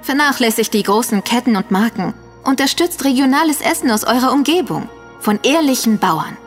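Female narrator, slightly high voice, scripted advice to listeners, music bed. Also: animated, fairly quick.

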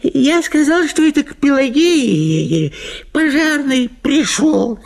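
A man's voice: very high-pitched, lively intonation, slow pace, vocal fry, pitched above the performer's own voice, full character voice. Man acting a grumpy, drawling, sleepy husband. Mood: dismissive. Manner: mumbling, drawling, grumbling. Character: sleepy, grumpy, cynical husband, a deputy prosecutor.

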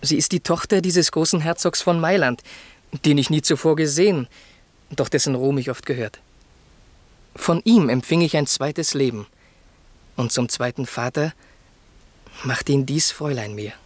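A lovestruck young prince played by a man with a highish voice. He speaks lyrical verse earnestly and reverently in classic radio drama style, the sound dry and close. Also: normal speed, long pauses, no echo, natural voice. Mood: tender, reverent. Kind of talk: classic German radio play acting, verse.

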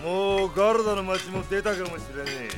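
An actor playing a brash, rough bandit chief with coarse, commanding speech in an audio drama. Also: male voice, sits high, fairly quick, music in the background, lightly acted. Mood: gruff musing. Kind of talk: anime-style audio drama acting.

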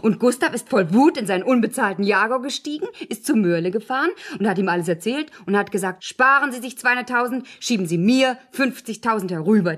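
An actress in a German radio play voicing a chatty woman who retells a story in a colloquial way, with a slightly husky voice. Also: medium pitch, natural voice. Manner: colloquial storytelling, quoting characters directly. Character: chatty woman spinning out a novel's plot.

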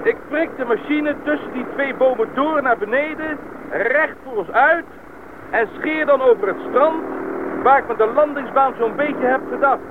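An actor in a radio play playing a capable, decisive pilot who gives brisk, matter-of-fact instructions. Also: male voice, very high voice. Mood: focused. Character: capable, decisive bush pilot and leader of an expedition. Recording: tinny, band-limited old radio play, engine noise.

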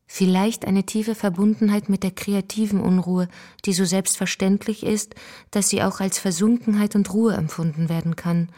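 A woman narrating a radio feature, calmly reading terse notes and letters aloud. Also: medium pitch, normal speed. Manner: terse, reads fragmentary keywords.

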